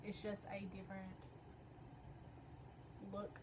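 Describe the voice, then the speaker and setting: A young woman with a slightly hoarse voice, chatting her way through a makeup tutorial in a rambling, filler-heavy way. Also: medium pitch, completely flat delivery, takes it slowly. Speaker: beauty vlogger. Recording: noisy home recording.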